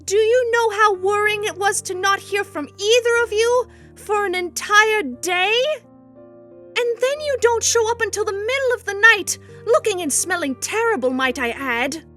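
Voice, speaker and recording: Woman voicing a high, bright motherly spider lady. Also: normal speed. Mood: worried, scolding. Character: motherly female spider monster, caring and firm. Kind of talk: amateur comic dub voice acting. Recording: music bed under the voice.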